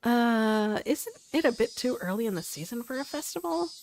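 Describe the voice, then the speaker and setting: A woman's voice: fairly high pitch, animated, normal speed, a little mellow, poor audio. Woman performing self-dramatizing teen boy for a game, wry and earnest, lighter register. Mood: doubtful.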